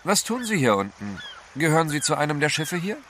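An actor playing a courteous, composed gentleman adventurer with a wry streak in an audio drama. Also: a little high, sing-song, brisk. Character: gentleman adventurer, courteous, protective husband or lover. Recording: clear ambient noise behind.

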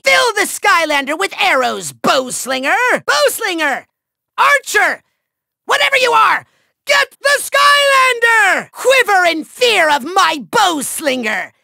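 A man's voice: sharp tone, dry recording, heavily acted. Bombastic little game villain, male: piercing, gritty, taunting and petulant, recorded very dry. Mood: gleeful commanding. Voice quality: shrill, gravelly. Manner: bombastic, taunting, whiny, theatrical. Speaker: voice actor in a video game. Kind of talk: theatrical cartoon villain voice lines.

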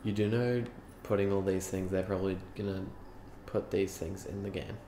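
A man's voice: low voice, little pitch movement, normal speed, dark tone. A young man giving casual, teasing commentary while playing a video game. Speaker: gaming channel host. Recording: roomy, noisy room mic over game audio.

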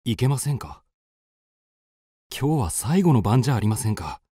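A man's voice: mid-range voice, very animated, normal speed, dark tone, husky, no echo, studio quality. An actor playing a courteous, wistful and persuasive male traveller in a radio drama, polite and poetic. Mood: earnest, wistful.

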